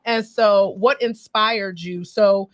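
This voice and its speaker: A woman's voice: medium pitch, fairly even intonation, slow pace, no room sound. A woman hosting a casual talk show, informal and bantering, encouraging her guest. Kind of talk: casual interview chat.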